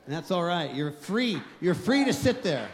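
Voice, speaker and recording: Guy preaching at church, high-ish voice, leans hard on the key lines. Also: very animated, a little hiss or hum. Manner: emphatic preaching, slows for key phrases. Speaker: pastor. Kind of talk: sermon.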